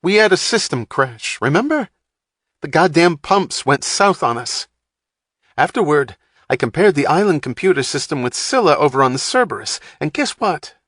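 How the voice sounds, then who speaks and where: A man's voice: mid-range voice, moderate pace, fairly bright tone, no echo, studio quality, lightly acted. A man voicing an irritable, profane male computer technician who snaps at everyone. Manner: snapping, abrasive, exasperated.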